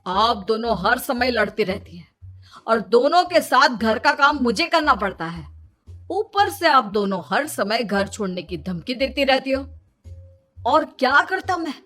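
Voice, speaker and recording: Woman voicing a high-voiced, exasperated young husband. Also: expressive, unhurried, a bit bright, faint music behind. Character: harried young husband, exasperated and put-upon. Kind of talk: Hindi children's cartoon dubbing.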